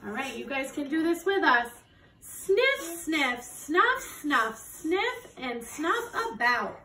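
A woman's voice: high-pitched, very animated, takes it slowly, pushed and bright. A woman in her thirties reading a picture book aloud to children like a teacher, encouraging them to join in, recorded on a phone in a living room. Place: living room at home, indoors. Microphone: phone camera from a few steps away. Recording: roomy echo, distant phone mic, background noise.

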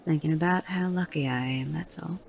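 Tough frontier madam played by a woman, low-pitched, cutting and dry Western drawl, audio Western. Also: a little fast, creaky, a little mellow, a little hiss or hum. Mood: gruffly fond. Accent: American Western drawl. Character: tough, prickly, foul-mouthed Wild West saloon madam. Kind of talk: audio drama acting.

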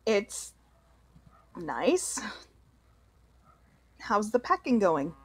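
A woman playing a devout, loving mother in a radio play, speaking in a halting, earnest way. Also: mid-range voice, very animated, breathy edge, no echo, exaggerated voice. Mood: awkward, polite. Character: devout, loving, remorseful mother of a grown son.

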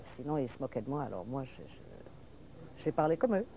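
Brief: 60s woman from Paris, Quebec-tinged French; low, mellow guest, old VHS hiss. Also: moderate pace, rough recording. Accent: mixed Parisian and Quebec French. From France. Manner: lively storyteller, restarts and laughs, points her words. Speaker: actress guest. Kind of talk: TV talk-show interview. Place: TV studio set, seated at table.

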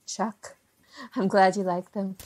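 A woman's voice: mid-range voice, little pitch movement, takes it slowly, a little mellow. A woman playing a chatty, hospitable small-town host in an audio drama, effusive, folksy and coaxing. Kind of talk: naturalistic audio drama acting.

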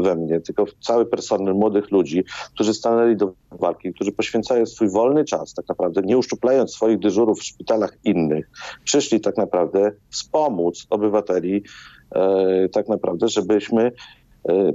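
A man's voice: on the low side, warm tone. A man, a doctor answering interview questions hesitantly, repeating words as he explains, over a video call. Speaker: doctor, hospital chief interviewed as guest. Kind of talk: live radio interview answers. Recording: dull, roomy video-call sound.

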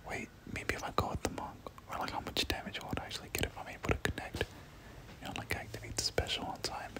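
Whispering ASMR gamer with rhythmic word repetition. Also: quick pace. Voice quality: breathy unvoiced whisper. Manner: repeats words in soft rhythmic runs. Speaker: gaming content creator. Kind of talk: whispered ASMR game commentary.

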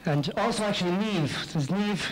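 A middle-aged man with a western Irish accent giving an informal, halting thank-you speech from a stage into a handheld microphone. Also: high voice. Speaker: pantomime company speaker giving thanks. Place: on stage in a theatre. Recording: noisy live theatre recording, loud audience.

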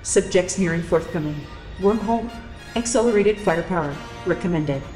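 A woman's voice: lowish voice, normal speed, music in the background, lightly acted, poor audio. A woman voicing a cold, clipped military drone computer with procedural delivery in a science fiction audio drama. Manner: flat, procedural wording.

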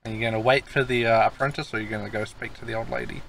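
A man narrating as game master of a tabletop game, halting with many fillers. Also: mid-range voice, steady tone, gravelly, a bit bright, a little hiss or hum, low-quality recording.

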